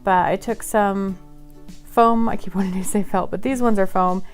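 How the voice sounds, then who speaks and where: A woman's voice: mid-range voice, fairly even intonation, moderate pace, soft background music. A woman hosting a casual craft tutorial, talking in a chatty, friendly way and laughing at herself now and then. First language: English; Polish is her second language. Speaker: craft channel host.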